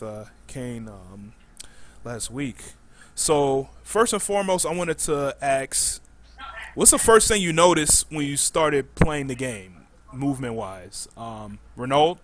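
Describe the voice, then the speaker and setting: A man hosting a podcast casually, halting often as he thinks aloud. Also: on the high side, a bit bright. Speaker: podcast host. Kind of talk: casual, loosely structured hosting.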